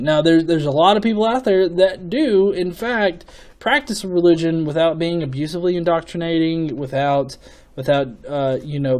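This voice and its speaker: A man giving off-the-cuff opinion commentary as a video host, in a casual, sweary manner with halting, repeated words. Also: on the high side, a little mellow. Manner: casual, profanity-laden.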